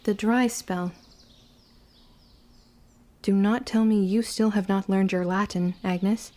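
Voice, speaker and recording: A woman's voice: mid-range voice, steady tone, takes it slowly, dark tone, lightly acted. An actress playing an earnest, bookish young witch in a period audio drama, speaking in formal old-fashioned phrases. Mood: impatient, stern.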